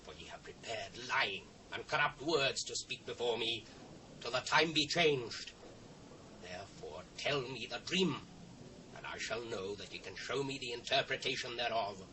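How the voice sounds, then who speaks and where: A man's voice: mid-range voice, animated, moderate pace, bright, forceful tone. A British actor playing an imperious, suspicious ancient king, commanding and declamatory in a dramatised scripture reading. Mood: suspicious, stern. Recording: distant, noisy old cassette transfer.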